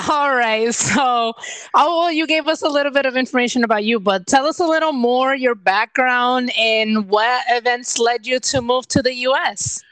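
A woman's voice: fairly high pitch, normal speed, a bit bright, clean tone, no room sound, low-quality recording. A woman hosting a podcast interview, playful and laughing along with her guest. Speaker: podcast host. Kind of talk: casual interview chat.

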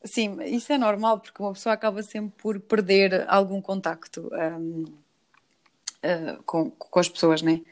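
A woman's voice: mid-range voice, flat delivery, normal speed. A woman from Switzerland hosting a podcast interview in a chatty, conversational way. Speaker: podcast host.

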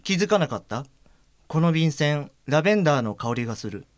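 A man's voice: on the high side, very animated, fairly quick, no room sound, clean studio sound. An actor playing a thoughtful, perceptive blind man in an audio drama, talking in a reflective, unforced way. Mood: pensive. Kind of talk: Japanese audio drama acting.

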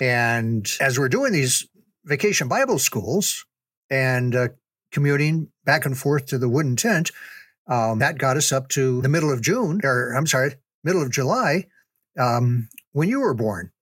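An older man casually recounting family memories in a halting, unhurried storytelling way. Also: medium pitch, no echo. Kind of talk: casual reminiscing.

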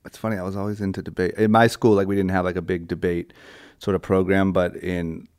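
Male podcast host, low-pitched, eager and rambling, casual. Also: little pitch movement, a little fast, a little mellow, smooth, no echo, clean studio sound. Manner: eager, rambling, many fillers and restarts. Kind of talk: casual interview chat.

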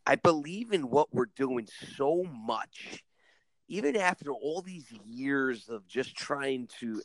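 Earnest male teacher co-host, rambling, commanding delivery, mid pitch, dry booth. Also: fairly bright tone, no echo, low-quality recording. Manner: rambling, earnest, many restarts. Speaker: podcast co-host, school teacher. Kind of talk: casual podcast chat.

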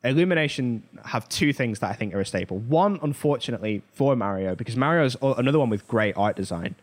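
A man's voice: mid-range voice, animated, fast. A man co-hosting a pop culture talk show, discussing things in a casual, conversational way. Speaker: podcast co-host.

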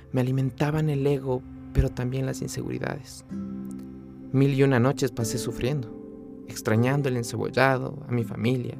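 A man's voice: medium pitch, vocal fry. A young man from Quito with an Ecuadorian accent, telling his own life story in an earnest, confessional way. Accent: Quito Ecuadorian Spanish. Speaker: podcast host. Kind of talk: personal storytelling monologue. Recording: faint music underneath.

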